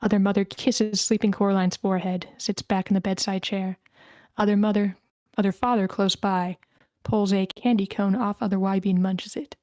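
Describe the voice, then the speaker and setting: A woman's voice: mid-range voice, fairly even intonation, normal speed, warm tone, no room sound. Woman narrating a screenplay, dry close sound. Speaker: narrator reading stage directions.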